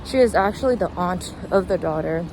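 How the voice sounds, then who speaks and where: A woman's voice: fairly low pitch, expressive, a little fast. A young adult woman giving casual, rambling commentary as a vlogger, informal and hesitant, with trailing thoughts, recorded outdoors while walking. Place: outdoors, walking along a street. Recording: noisy outdoor recording with traffic ambience. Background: clear street and vehicle noise.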